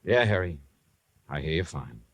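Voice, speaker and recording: A man's voice: extremely low pitch, expressive, normal speed. A man playing a laconic, dry-witted insurance investigator who also narrates, in a 1950s radio drama. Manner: laconic, dryly wry. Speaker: narrator and lead detective. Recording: vintage radio recording with music.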